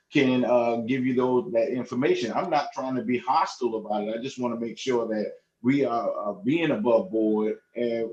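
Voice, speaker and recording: A man speaking as a board member in a formal meeting, halting and repeating himself in a conciliatory way. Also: medium pitch, darkish tone, a little hiss or hum. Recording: muffled, echoey online meeting audio.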